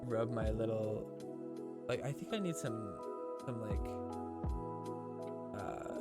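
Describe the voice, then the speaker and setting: Stuffy-nosed man rambling through a stream. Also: medium pitch. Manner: rambling, lots of fillers and restarts. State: stuffy nose. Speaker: gaming streamer host. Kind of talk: casual live-stream commentary.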